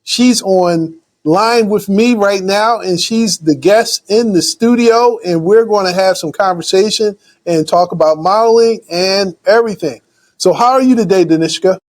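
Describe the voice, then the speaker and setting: A man's voice: high-pitched, unhurried. A man hosting an interview show, asking questions hesitantly and often repeating his words. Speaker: show host.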